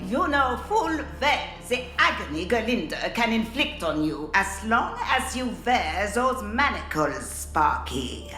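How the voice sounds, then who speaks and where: A woman's voice: on the high side, big pitch swings, slow, few pauses, bright, forceful tone, roomy sound, faint music behind, full character voice. A woman playing a brash, scheming nonhuman runesmith in a video game, boastful and scornful, speaking of herself in the third person. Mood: menacing. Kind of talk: dramatic video game acting.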